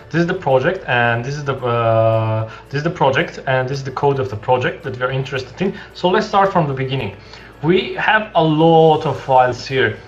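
A young man walking through a coding project for a screen-share tutorial, halting and restarting often as he explains, recorded indoors at his desk. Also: fairly high pitch. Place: small room indoors. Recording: dull lo-fi sound with faint music.

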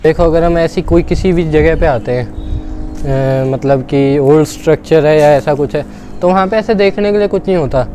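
A man's voice: on the high side, moderate pace, mellow, soft background music. A man chatting casually and colloquially to viewers as he vlogs outdoors. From India. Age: 20s. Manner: colloquial, informal, addressing viewers as brother. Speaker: travel vlogger. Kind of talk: casual solo vlog chat while walking. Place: outdoors at an open fort ruin. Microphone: clip-on lapel mic. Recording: clear outdoor background noise.

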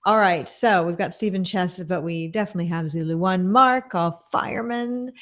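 A woman's voice: medium pitch, darkish tone. A middle-aged woman hosting a casual video call chat from a home music room on a studio microphone, upbeat and affirming. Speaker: podcast host.

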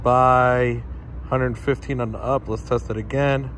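A man of average pitch talks casually to his viewers, calling them "guys" as he reads numbers off the screen. He is outdoors at night and films on a handheld phone. Passing cars and street noise come through clearly behind him. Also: unhurried. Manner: relaxed, reads out speed test numbers, addresses viewers as guys. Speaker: tech vlogger testing phone networks. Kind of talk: casual on-the-spot commentary to viewers. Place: outdoors on a city street at night near a stadium. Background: passing traffic and street ambience.

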